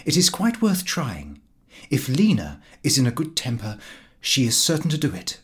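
A man's voice: mid-range voice, huge pitch swings, moderate pace. A man voicing a genteel, credulous lady visitor in an audiobook, prim and earnest. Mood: reassuring.

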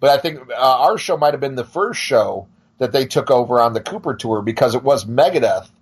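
A man's voice: mid-range voice, animated, fairly quick, breathy edge. Podcast guest, man in his forties, ordinary mid voice, wry rambling stories. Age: 40s. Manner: wry, rambling, swears, laughs. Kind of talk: casual chat.

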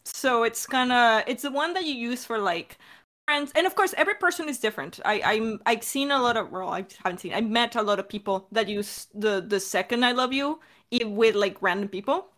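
A woman's voice: on the high side, brisk, a bit bright. A woman from Mexico with an American accent, explaining things informally as a creator in a Q&A, hesitating and correcting herself. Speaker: audio drama creator in a Q&A. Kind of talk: casual chat, explaining.

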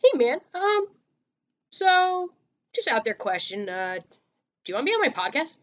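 A woman's voice: high-pitched, big pitch swings, normal speed, dull audio. Young woman hosting a joking chat. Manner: joking, rambling with fillers. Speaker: podcast host. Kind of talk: casual chat.

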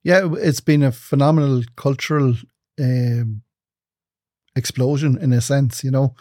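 A man in his fifties, originally from England, answering interview questions in an articulate, measured way. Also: mid-range voice, steady tone, a little mellow, no room sound, clean studio sound. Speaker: broadcasting executive guest.

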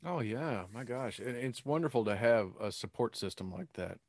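A man's voice: mid-range voice, lively intonation, normal speed, dry recording, clean studio sound. A man hosting a casual interview, agreeable and informal, feeling his way through his questions. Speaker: podcast host.

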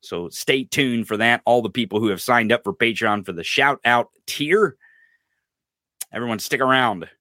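A man's voice: mid-range voice, normal speed, pushed and bright, no room sound, studio quality. A man hosting a podcast, chatting and joking casually. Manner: joking, upbeat. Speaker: podcast host.

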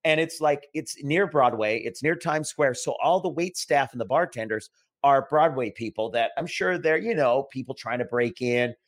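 A man's voice: medium pitch, normal speed, no echo. A man telling bar stories on a podcast as a guest, in a loose, cursing chat style with frequent laughs. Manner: loose, swearing, laughing storyteller. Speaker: podcast guest, restaurant worker. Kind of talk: casual chat.

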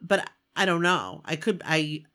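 A hoarse, congested woman from Maine co-hosting a casual podcast, chatty and quick to laugh. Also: low-pitched, very animated, a bit bright. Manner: chatty storyteller, laughs often. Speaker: podcast co-host. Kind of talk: casual chat.

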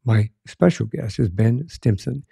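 A man's voice: medium pitch, lively intonation, measured pace, vocal fry, warm tone, breathy edge, no room sound, clean studio sound. A middle-aged man hosting a podcast interview, friendly and rambling, often restarting his sentences. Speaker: podcast host. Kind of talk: conversational interview.

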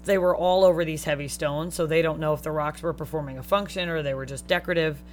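A woman's voice: low-pitched, steady tone, quick pace, faint music behind. A woman co-hosting a casual podcast. She reads researched notes aloud, jokes between facts, and swears casually. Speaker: podcast co-host.

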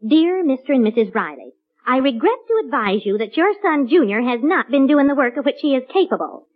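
A woman's voice: high voice, sing-song, fairly quick. An actress playing a sensible, exasperated American mother with a scolding, pointed delivery in old radio sitcom style. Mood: pointed reading. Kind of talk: 1940s radio sitcom acting. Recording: muffled old radio broadcast.

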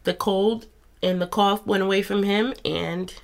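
Slow-talking congested woman podcast host. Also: medium pitch, steady tone, creaky. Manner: warm, chatty and encouraging, with soft laughs. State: congested from a cold. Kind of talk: casual faith podcast monologue.

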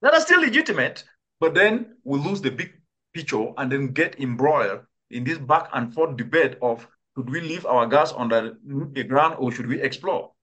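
A man's voice: medium pitch, lively intonation, moderate pace, bright, forceful tone. A man from Cameroon, a climate expert, answering interview questions earnestly and with rhetorical questions. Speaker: guest, climate change expert.

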